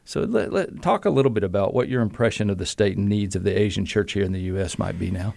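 A man's voice: fairly low pitch, fast talker, gravelly, darkish tone, no room sound. A man hosting a podcast interview as a seminary professor, talking in a friendly, easygoing way and often restarting his phrases.

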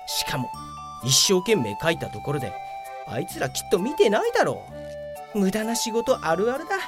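A woman's voice: mid-range voice, very animated, normal speed, over music, close to an everyday voice. A woman playing a whiny, self-important young male office worker in a comedic radio drama, full of grumbles and excuses. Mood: grumbling.

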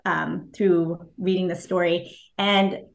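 A woman whose first language is Japanese, speaking as an author guest in an interview, thoughtful and hesitant with fillers and soft laughs. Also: on the low side, flat delivery, slow pace, gravelly. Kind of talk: casual interview answers.

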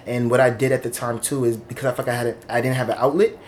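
A man in his thirties from Long Island tells his life story in an oral history interview, candid and reflective. His voice sits at an everyday middle pitch, with a faint hiss of background noise in the office. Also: steady tone, very fast, a little mellow. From Long Island, New York. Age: 30s. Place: office indoors.

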